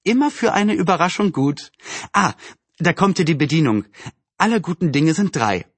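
Man voicing a lively chatty young girl, pitched up. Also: normal speed, dry recording. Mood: chatty, teasing.

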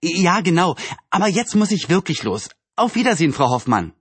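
A man's voice: sits high, big pitch swings, moderate pace, husky, no room sound, voice pushed up, exaggerated voice. A man voicing a cheerful, chatty young girl with a teasing, eager manner in a storybook reading. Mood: polite, cheerful.

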